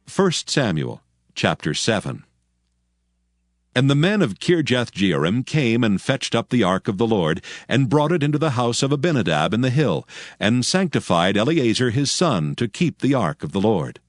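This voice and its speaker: A man narrating scripture from a script in a solemn, measured, formal manner. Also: medium pitch, sing-song, no echo, studio quality. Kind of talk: scripted dramatized Bible narration. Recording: faint music behind the voice. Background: faint background music.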